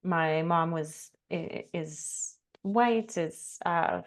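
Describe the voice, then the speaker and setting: A woman's voice: sits low, very slow. A woman in her forties from Canada, a curator and artist, introducing herself in a reflective conversation with many fillers and restarts.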